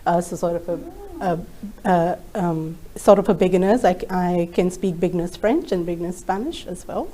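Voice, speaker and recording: A woman's voice: fairly low pitch, normal speed, mellow. A woman whose first language is Urdu, speaking politely and with enthusiasm on a panel. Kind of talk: casual panel discussion.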